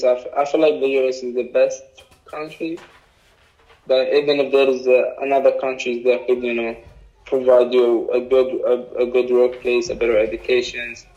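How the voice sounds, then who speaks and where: A young man with an Arabic accent, a native Arabic speaker, answering interview questions in a halting way with many fillers. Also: mid-range voice, darkish tone. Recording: dull lo-fi recording.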